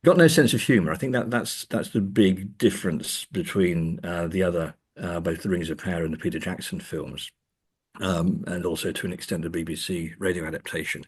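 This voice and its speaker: A man speaking as an academic interview guest, thinking aloud haltingly and repeating words as he goes. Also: low-pitched, slightly rough. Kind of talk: conversational interview.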